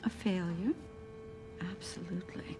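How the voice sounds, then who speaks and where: An actress playing a loving, regal mother in a film, gentle but blunt. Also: lowish voice, measured pace, music in the background, lightly acted. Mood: frank. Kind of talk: dramatic film acting. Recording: loud film score behind dialogue.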